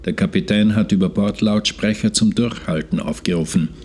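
An actor playing a troubled, sleepless seaman, narrating his log in a measured, sombre way in a radio play. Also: on the low side, darkish tone, husky. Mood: grave.

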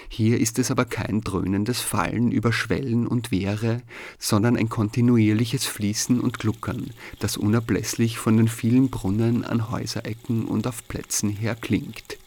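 A man with a fairly low voice narrates a scripted travel piece for radio. The sound is dry and close, with very little room around the words. He describes places as a narrator, reading from a prepared text. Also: fairly even intonation, unhurried, a little mellow, no room sound.

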